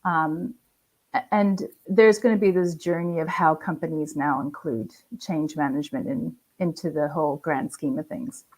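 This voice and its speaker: A woman who works in change management, answering interview questions in measured, step-by-step explanations over a video call. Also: low-pitched, fairly even intonation, darkish tone, poor audio. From not from Australia. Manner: measured, walks through history step by step.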